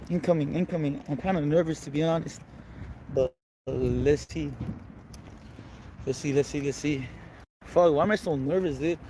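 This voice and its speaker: A young man livestreaming and chatting to his viewers, nervous and halting, stumbling through restarts and fillers, with English as his first language. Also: fairly high pitch, darkish tone, a little hiss or hum, poor audio. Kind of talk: IRL livestream chat. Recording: music playing underneath. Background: music.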